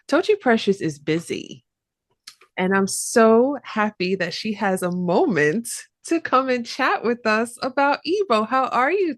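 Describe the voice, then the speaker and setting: A woman hosting an interview podcast about languages, upbeat and quick to laugh. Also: medium pitch, no echo, studio quality. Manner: upbeat, laughs often, enthusiastic. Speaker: podcast host.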